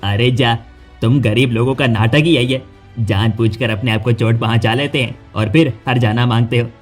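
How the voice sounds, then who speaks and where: A man's voice: mid-range voice, steady tone, moderate pace, soft background music, lightly acted. A man voicing a callous, contemptuous rich factory owner in a cartoon story. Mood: scornful. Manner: scornful.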